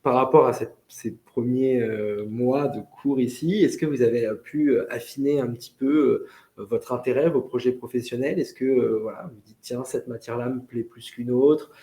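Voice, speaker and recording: Mellow-voiced male interview host. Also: medium pitch, normal speed. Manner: many fillers, rephrases questions. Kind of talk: live interview hosting. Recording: echoey room.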